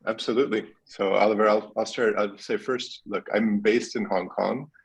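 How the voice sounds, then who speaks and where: A man with an American accent speaks as a panel guest in a candid, relaxed way. His voice is low and a little husky. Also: normal speed. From United States. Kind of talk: conversational career storytelling.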